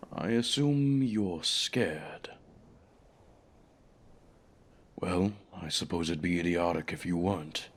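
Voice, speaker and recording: A man voicing a haughty, commanding dragon in a fantasy ASMR roleplay, speaking formally with pompous condescension. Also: sits low. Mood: haughty, amused. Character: haughty male dragon, commanding keeper of a human pet.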